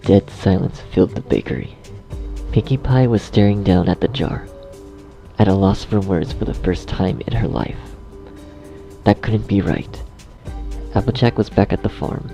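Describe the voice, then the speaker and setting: A man's voice: low-pitched, moderate pace, creaky, pauses often, dark tone. A man narrating a scripted horror story dramatically, as its storyteller. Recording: faint music behind the voice.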